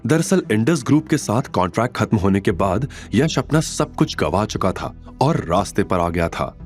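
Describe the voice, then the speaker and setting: A man's voice: on the low side, big pitch swings, moderate pace. A man narrating a scripted audio drama in a dramatic storytelling style. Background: music bed.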